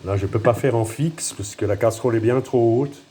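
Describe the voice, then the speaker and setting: A man's voice: medium pitch, a little fast, mellow. A man with a noticeable regional French accent walking through a home recipe, filming on a handheld phone in his kitchen and talking in a hesitant, self-deprecating way. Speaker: home cook. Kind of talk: casual cooking demonstration.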